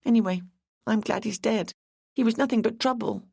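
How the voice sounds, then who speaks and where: Woman putting on a high, tearful young woman, anxious, sniffly, dry close sound. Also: brisk, vocal fry, a little mellow, breathy edge, no echo. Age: 20s. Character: tearful timid young woman, theatre runner.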